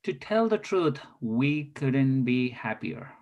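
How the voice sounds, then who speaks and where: Male actor as gentlemanly spy, thirties, stilted formal phrasing, earnest, medium pitch, scripted drama read. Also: animated, slow pace, dull audio. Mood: devoted.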